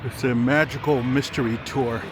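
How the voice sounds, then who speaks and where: A man's voice: medium pitch, steady tone, normal speed, noisy background. A man chatting casually in a vlog, in an amused, teasing way.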